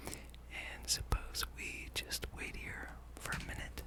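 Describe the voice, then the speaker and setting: Whispering ASMR gaming host, rambling softly. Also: slow pace. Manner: rambling, thinking aloud.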